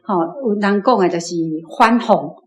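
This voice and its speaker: A woman's voice: medium pitch, normal speed, roomy sound. A woman from Taiwan, a reading club member, talking through her thoughts with many repeats and fillers. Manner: repetitive, filler-heavy. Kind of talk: sharing reading impressions.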